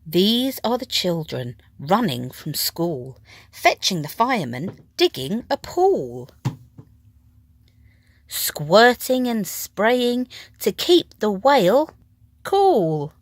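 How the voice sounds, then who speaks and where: Expressive, slow-paced woman reading a storybook. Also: medium pitch. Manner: dramatic, with hushed whispered phrases and drawn-out words. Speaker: storybook reader. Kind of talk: expressive children's picture-book narration in rhyme.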